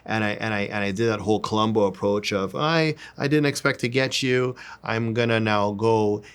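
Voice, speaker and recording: A man's voice: on the low side, moderate pace, clean tone. A man giving an informal sales coaching lesson, repeating words and phrases for emphasis. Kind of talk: informal instructional talk with demonstrations.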